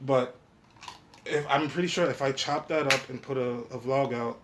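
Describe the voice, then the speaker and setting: A man's voice: mid-range voice, fairly even intonation, normal speed. A man in a casual podcast chat, talking in an informal, slangy way with plenty of swearing. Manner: profane, slangy, informal talk. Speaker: podcast host. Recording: clear background noise.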